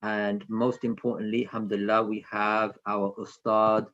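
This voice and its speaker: A man's voice: lowish voice, flat delivery, moderate pace, a bit bright. A man hosting an online children's session in an informal way, talking directly to attendees with instructions and questions over a video call. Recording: slightly dull lo-fi video call audio.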